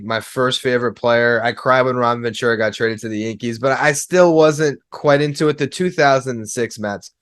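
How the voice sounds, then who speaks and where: Man in his twenties, sports podcast guest, talkative, conversational, medium pitch, dry close recording. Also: clean tone, no room sound. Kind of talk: casual sports chat.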